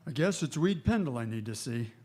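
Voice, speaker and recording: A man with an American accent playing a steady, terse Old West marshal in a radio western. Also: mid-range voice, brisk, close to an everyday voice. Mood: matter-of-fact.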